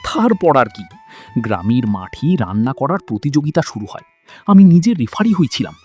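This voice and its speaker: Cartoon wealthy village gentleman, male actor, colloquial storyteller, hugely animated delivery, faint music underneath. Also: mid-range voice, normal speed, breathy edge. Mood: amused storytelling. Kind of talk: cartoon dialogue, telling an anecdote.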